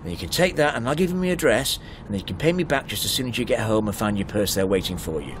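A man's voice: lowish voice, expressive, fast, close to an everyday voice. An actor playing an assured, persuasive middle-aged married man at the wheel, in a naturalistic radio drama. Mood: insistent. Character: married male driver, assured, persuasive, insistently helpful. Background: car interior ambience, faint engine noise.

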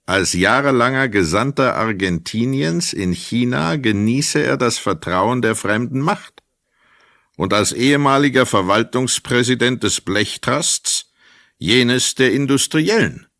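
A man narrating a literary radio play in a measured, formal way. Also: medium pitch, lively intonation, a bit bright, no echo, clean studio sound.

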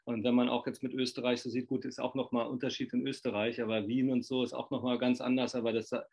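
A man's voice: medium pitch, flat delivery, fast talker, vocal fry, a little mellow. A middle-aged man interviewing over a video call from his living room, rambling with many fillers and tag questions. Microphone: video call webcam. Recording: dull lo-fi webcam audio.

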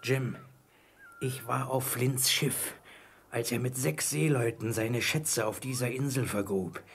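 An actor playing a marooned, repentant old pirate in a German radio play, wary and eager, with a husky, creaky voice and a theatrical, confiding manner. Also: male voice, mid-range voice, fairly even intonation, slow. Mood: brooding, intense.

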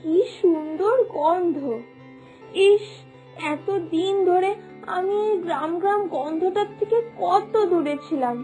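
A woman's voice: very high voice, darkish tone. A woman playing a sentimental young daughter back home from abroad in a cartoon, dreamy and delighted. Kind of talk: cartoon story acting.

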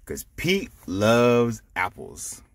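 A man reading a picture book aloud to children, explaining it simply with animated asides. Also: mid-range voice, extremely slow. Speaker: storytime reader.

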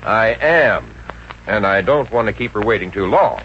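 An actor with an American accent playing an easygoing, wisecracking, lovestruck boyfriend in a 1950s radio comedy. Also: male voice, lowish voice, animated, moderate pace, lightly acted. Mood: flippant, brisk. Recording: muffled, noisy vintage radio recording.

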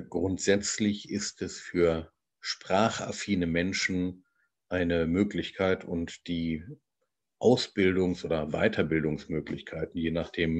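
A bilingual man, a translator, giving an online lecture over slides in a measured, explanatory way, with frequent hesitations. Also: deep voice. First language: bilingual German and English.

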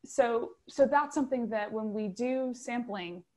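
A woman on a video call panel talks in an earnest, emphatic way. She builds her points step by step, often pausing with "um" to reach the next one. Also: on the high side, darkish tone. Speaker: panelist, data science manager.

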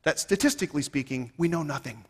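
Man, 30s, keynote speaker; higher voice, emphatic rhetorical delivery, long hall reverb. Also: lively intonation, quick pace, husky. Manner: emphatic, rhetorical, swinging between loud and quiet. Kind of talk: conference keynote speech. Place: stage of a large conference hall. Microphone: headset mic.

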